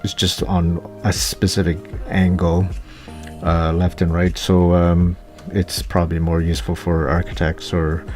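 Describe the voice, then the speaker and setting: A man presenting an unscripted digital art tutorial voice-over, thinking aloud in a hesitant, halting way. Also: sits low, completely flat delivery, darkish tone. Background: guitar music.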